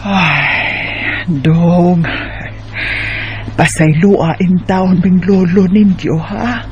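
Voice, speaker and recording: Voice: mid-range voice, steady tone, drawn-out words, lo-fi sound, a little hiss or hum. An actor playing an apologetic, regretful elderly grandparent in a radio drama.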